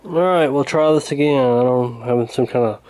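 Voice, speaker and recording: A man's voice: mid-range voice, moderate pace, a little mellow, clear, resonant tone, rough recording. A man talking casually and hesitantly through a hands-on repair, in a folksy, unscripted way. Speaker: radio repair hobbyist, presenter.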